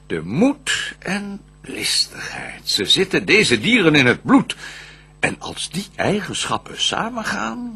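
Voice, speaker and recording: A scheming, vengeful ruler of hell declaims verse for a radio play. The voice is high and forceful, with huge melodic swings. The delivery is grand and theatrical. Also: male voice, gravelly, husky, heavily acted. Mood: sly, brooding.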